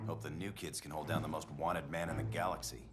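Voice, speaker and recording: A man's voice: rumbling low, flat delivery, a little fast, over music. An actor playing a strong-willed, defiant male superhero in a video game cutscene. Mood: wry. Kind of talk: video game cinematic acting.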